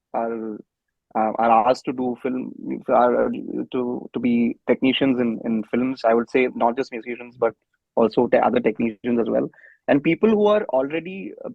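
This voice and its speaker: A man with an Indian accent giving halting, casual interview answers as a musician, repeating words, over a thin phone-like connection. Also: mid-range voice, vocal fry, dry recording. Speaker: band musician, interview guest.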